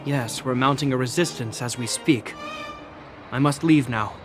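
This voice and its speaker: An actor playing a polite, dutiful young military officer in a video game, earnest and formally courteous. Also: male voice, medium pitch, normal speed, faint music behind, natural voice.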